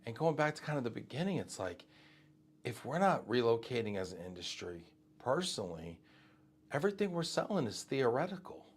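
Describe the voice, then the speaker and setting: A man from Texas hosting a live interview, talking in quick conversational bursts with many asides and fillers. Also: medium pitch, sing-song, slightly rough. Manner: talks fast with many asides and fillers, speaks to viewers directly. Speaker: host of a live show.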